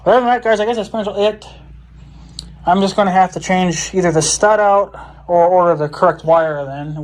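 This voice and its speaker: A man with a slightly high voice talks casually to his viewers while working on a hands-on project. He rambles and thinks aloud, in a folksy, informal way. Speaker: vlogger. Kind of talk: casual hands-on DIY vlog talk to viewers.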